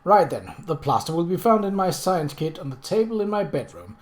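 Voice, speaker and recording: Guy doing a calm gentleman sleuth in an audiobook, matter of fact, bit of a tease. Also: on the high side, fairly quick, voice pushed up. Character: composed, gently teasing gentleman investigator.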